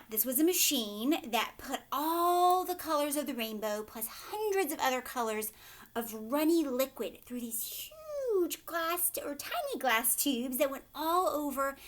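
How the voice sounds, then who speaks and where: A woman reading a children's story aloud like a teacher, with expressive, emphatic, dramatic phrasing. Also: high-pitched.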